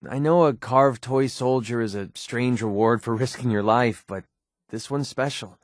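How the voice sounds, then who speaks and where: An actor playing a guarded, wounded mercenary in a video game, sarcastic and quick-tempered but loyal, speaking in a plain, earnest, edgy way. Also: male voice, mid-range voice, no room sound, studio quality, lightly acted. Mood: sincere, grateful. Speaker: companion character in a video game. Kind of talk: naturalistic video game voice acting.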